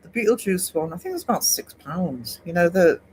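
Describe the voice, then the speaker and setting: A man's voice: high voice, lively intonation, normal speed, a little mellow, a little hiss or hum, rough recording. A man hosting a casual livestream, chatting and rambling to his viewers with lots of fillers and restarts. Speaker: art livestream host. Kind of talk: casual chat reading live comments.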